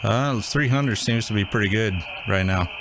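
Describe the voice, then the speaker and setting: Low voiced guy walking you thru a gadget tutorial, real informal, kinda thinking out loud as he goes. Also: normal speed, background noise. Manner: informal, thinking aloud while demonstrating. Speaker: host of a radio how-to channel. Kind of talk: casual hands-on tutorial.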